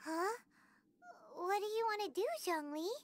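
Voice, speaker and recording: A woman voicing a tiny, curious, candid childlike companion in an anime-style video game, with a squeaky, plain-spoken delivery. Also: unhurried, a little hiss or hum. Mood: puzzled.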